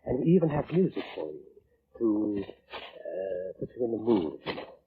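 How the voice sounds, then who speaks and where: An actor playing a coolly polite, insistent coroner in a 1940s radio drama, speaking formally with sinister, needling courtesy. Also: male voice, fairly low pitch, very animated, normal speed, breathy edge, full character voice. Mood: mock courteous. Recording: narrow, tinny, noisy old radio broadcast.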